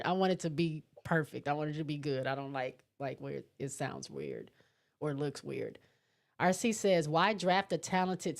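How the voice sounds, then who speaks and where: A woman with a soft voice hosting a live sports talk stream, reading viewer comments in a chatty, laughing way. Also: fairly low pitch. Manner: chatty, laughs often, restarts sentences. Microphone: mixer setup.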